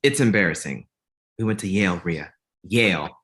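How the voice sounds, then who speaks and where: A man's voice: sits low, animated, normal speed, a bit bright. A man playing a snobbish, blunt young Ivy League friend in a comedy script table read.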